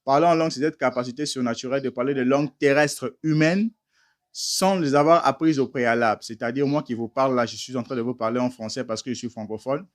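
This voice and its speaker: A man's voice: mid-range voice, fast talker. A man preaching a teaching sermon, emphatic and firing rhetorical questions at listeners he calls beloved brothers.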